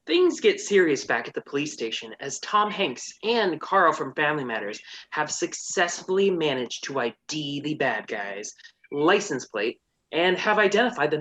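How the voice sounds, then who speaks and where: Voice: medium pitch, pushed and bright, rough recording. A comedian narrating an abridged story with sassy, mock-serious commentary.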